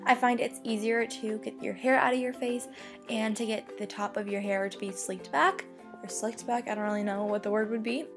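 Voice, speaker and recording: A young woman talking through a hair tutorial in a casual, chatty way, her voice a little hoarse from losing it. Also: on the high side, steady tone, normal speed, music in the background. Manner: chatty, upbeat, many "like" fillers. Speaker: beauty vlogger. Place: bedroom.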